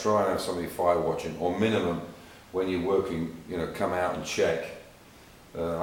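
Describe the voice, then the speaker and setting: A middle-aged English man with an English accent, chatting casually about his car work with many fillers and repeated words. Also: low voice. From England. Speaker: car restorer vlogger. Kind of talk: casual workshop vlog. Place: garage workshop. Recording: echoey garage with faint noise.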